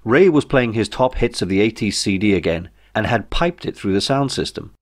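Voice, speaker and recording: Man, British accent, comic audiobook narration, wry, slightly deep, animated intonation. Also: normal speed. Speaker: author reading own novel.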